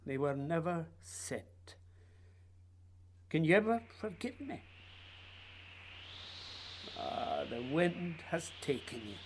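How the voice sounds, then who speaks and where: Man acting a wistful, slow-spoken ageing playwright. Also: a little high, big pitch swings, slight background noise. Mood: mournful, haunted. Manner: halting, dramatic, poetic delivery. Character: wistful ageing Scottish playwright, haunted and intense. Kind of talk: radio play acting.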